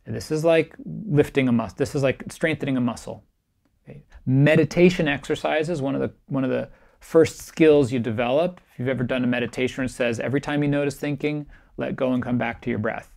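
A middle-aged man, a meditation teacher, giving a calm, reflective keynote talk to camera in a small, bright room. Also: medium pitch, a little fast, gravelly. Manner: calm, reflective, gently emphatic. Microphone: camera framed at chest height, facing the speaker.

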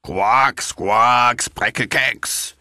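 A man voicing an ugly, dim young male toad in a storybook, croaking nonsense sounds. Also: medium pitch, very slow, sharp tone, no echo, clean studio sound, full character voice.

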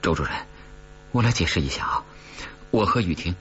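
A man's voice: sits low, lively intonation, fairly quick, a bit bright. A man voicing a nervous young boyfriend, stammering and earnest as he explains himself deferentially in an audiobook. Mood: nervous, placating. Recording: dull recording with faint hum.

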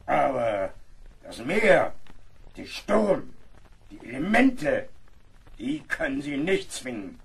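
An actor playing a world-weary, blunt old ship's surgeon in a radio play, speaking gravely, frankly and deliberately. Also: male voice, on the high side, full character voice, poor audio. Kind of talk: German radio play acting.

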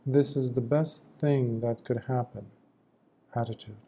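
A man guiding a meditation as a teacher, speaking gently in short phrases with long pauses between them. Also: mid-range voice, fairly even intonation, breathy edge. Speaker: meditation teacher, Buddhist monk. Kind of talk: guided meditation, slow spoken instruction.

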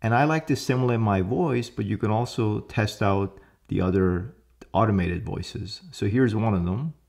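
Middle-aged guy doing a screen-share tech tutorial, lowish voice, upbeat and chatty, close mic at home. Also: moderate pace, creaky, a little mellow. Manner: upbeat, explanatory, casual. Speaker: tech channel host. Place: indoor home office.

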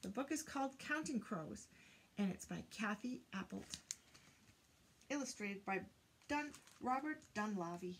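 An older woman reads a picture book aloud to young children, counting in a deliberate singsong and prompting them with questions. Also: medium pitch, animated, a little hiss or hum. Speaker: children's teacher reading aloud. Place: indoors, small room lined with bookshelves.

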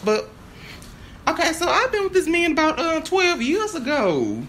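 Very high-pitched woman, halting and repetitive. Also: sing-song, fairly bright tone, slight background noise. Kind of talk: improvised monologue from a stage play.

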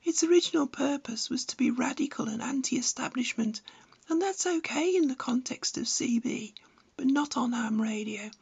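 High, creaky, husky woman narrator. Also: moderate pace, a little mellow. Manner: blunt, didactic. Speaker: instructional video narrator. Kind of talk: scripted narration, tutorial with opinionated advice.

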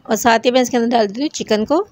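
A woman with a Pakistani Urdu accent, hosting a home cooking channel, calmly explaining each recipe step in a voice-over. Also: fairly high pitch, fast talker. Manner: calm, repeats each step.

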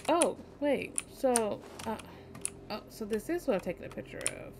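A woman's voice: a little high, animated, moderate pace, gravelly. A tired young woman streaming a video game, chatting casually and reading the game's text aloud. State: tired, yawning. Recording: home stream with faint game noise.

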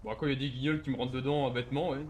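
A man's voice: medium pitch, fairly even intonation, rapid-fire. A man chatting casually over a video game, blunt and provocative in the way he talks. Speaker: gamer, video creator trolling in online roleplay. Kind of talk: casual gameplay commentary and banter.

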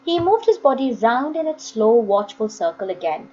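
A woman reading a story aloud as a storyteller, with deliberate, dramatic phrasing. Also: fairly high pitch, sing-song, warm tone, lo-fi sound. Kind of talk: expressive scripted audiobook reading.

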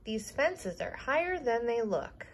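A woman's voice: fairly high pitch, sing-song, a little slow, a little hiss or hum. A woman voicing a bumbling, cheerfully stubborn papa bear from a children's storybook, with a folksy, excuse-making manner. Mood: sheepish, grateful.

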